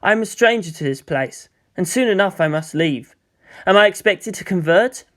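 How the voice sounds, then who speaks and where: Teenage boy student read by a man, high-pitched, curious, polite, frank, storybook dialogue. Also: expressive, normal speed, fairly bright tone. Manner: questioning, frank.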